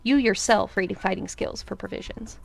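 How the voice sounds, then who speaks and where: A woman playing a pragmatic female leader in an audio drama, measured and commanding. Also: mid-range voice, creaky, soft background music. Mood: firm, approving.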